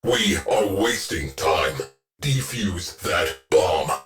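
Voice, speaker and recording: A man playing a gruff, sardonic shipboard AI officer in a video game, giving clipped, deadpan military orders. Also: on the low side, takes it slowly, faint music behind. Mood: urgent, commanding. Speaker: ship's AI crew officer. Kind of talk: scripted video game voice lines.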